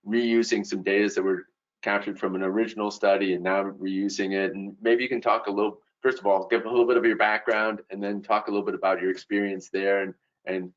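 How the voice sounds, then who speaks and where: A man moderates an online panel in a halting way, often doubling up on words as he hands over. His voice is fairly low and comes through a little dull. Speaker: webinar moderator. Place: online webinar. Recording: dull, lo-fi webinar audio.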